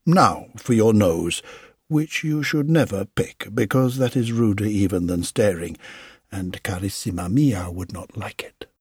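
A man voicing a doting old woodcarver father in an audiobook, fond and emphatic, with a breathy, husky tone. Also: on the low side, measured pace, a little mellow, dry recording, studio quality. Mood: fond, instructive. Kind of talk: audiobook character voice.

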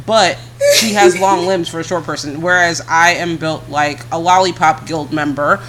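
A woman co-hosting a casual podcast chat, talking bluntly and frankly in a deep, heavy voice she keeps a little higher than her natural one. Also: fairly even intonation, moderate pace, fairly bright tone, a little hiss or hum, rough recording. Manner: blunt, frank, swears, laughs often. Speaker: podcast co-host.

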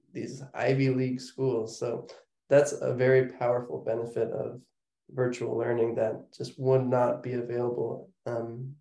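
A man's voice: medium pitch, dark tone, echoey room, rough recording. A man hosting an interview podcast, enthusiastic and affirming toward his guest. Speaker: podcast host.

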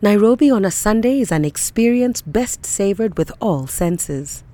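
A woman's voice: medium pitch, animated, moderate pace, warm tone, no room sound. A woman reading scripted literary narration for audio fiction.

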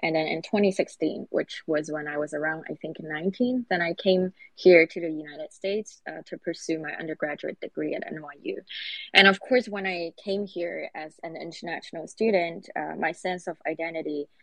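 A woman in her twenties from Hong Kong, speaking thoughtfully on a video-call panel with frequent "uh" pauses. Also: deep voice, steady tone, vocal fry, dry recording, low-quality recording. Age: 20s. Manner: measured, frequent "uh" fillers. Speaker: panelist, activist.

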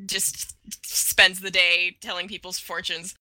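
Female podcast co-host, sharp and very bright, dry close sound, informal chat. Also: fairly low pitch, little pitch movement, slow, no echo, low-quality recording. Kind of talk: casual chat about a cartoon.

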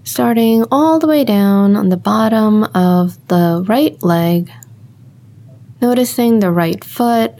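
A woman leads a guided body scan meditation in a calm, mellow voice. Her delivery is slow and gentle, with long pauses between short instructions. Her pitch sits in an average range for a woman.

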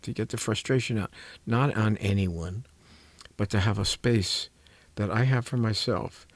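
An earnest older man with a fairly low voice talks reflectively in a dry, close recording. He often halts to search for a word, then leans firmly on the key ones. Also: darkish tone, no room sound. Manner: halting, searching for words, earnest. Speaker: clinician and programme host. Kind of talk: reflective conversational talk on caregiving.

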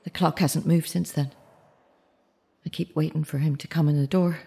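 Low-voiced, grieving middle-aged mother. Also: fairly even intonation, vocal fry, warm tone, husky, full character voice. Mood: grieving, restrained. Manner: halting, self-correcting, confessional. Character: grieving mother of a murdered teenage son, fragile. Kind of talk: naturalistic audio drama acting.

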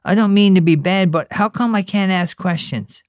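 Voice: normal speed, no room sound, studio quality, close to an everyday voice. A reader voicing an earnest young boy, pleading and questioning as he prays and bosses his little brother around. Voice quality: high, childlike, pleading. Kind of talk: reading fiction aloud.

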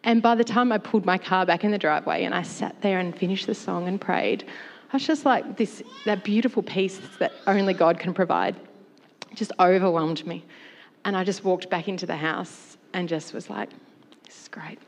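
A woman's voice: lowish voice, normal speed, vocal fry, slight background noise. A woman, a church worship leader, candidly telling a personal story on a panel. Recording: long hall reverb.

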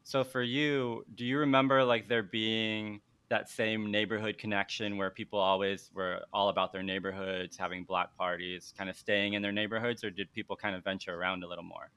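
A man's voice: fairly low pitch, flat delivery, normal speed, hardly any pauses, sharp tone, smooth, no room sound. A man hosting a podcast, asking a coworker questions in an upbeat, friendly chat with some fillers. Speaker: podcast host. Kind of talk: casual interview chat.

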